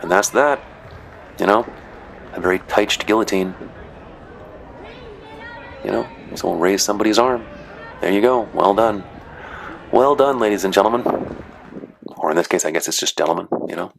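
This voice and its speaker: A man's voice: on the low side, moderate pace, creaky, long pauses, background noise. A man casually narrating footage of matches he refereed, chatty and rambling with dry joking asides. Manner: rambling, chatty, frequent "you know" and "uh". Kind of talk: casual commentary.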